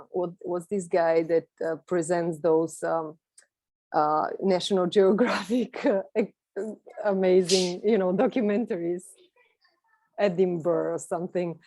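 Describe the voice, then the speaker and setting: A woman's voice: fairly low pitch, dark tone, no room sound. A woman, giving a chatty, enthusiastic personal talk as a guest speaker on an online video call. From Bulgaria. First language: Bulgarian. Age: 30s. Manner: chatty, enthusiastic, laughs lightly while talking.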